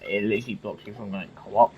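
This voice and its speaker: A man giving casual, unscripted game commentary, halting and rambling as he goes. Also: on the low side, fairly even intonation, rough recording. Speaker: gamer recording gameplay commentary. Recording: game music behind the voice.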